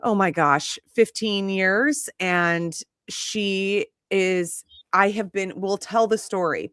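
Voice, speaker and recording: A chatty, enthusiastic woman hosts a live online show. Her voice sits at a middling pitch, and the sound is dry and close, with no room echo. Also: fairly bright tone. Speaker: host. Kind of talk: live-stream chat.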